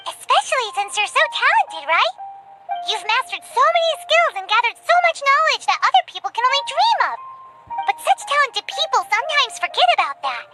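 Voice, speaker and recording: A woman voicing a tiny, chatty, cheeky fairy girl with a squeaky childlike voice in a video game cutscene. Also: sing-song, a little fast, pushed and bright, soft background music, heavily acted. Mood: cheeky, eager. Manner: exclamatory, refers to self in third person.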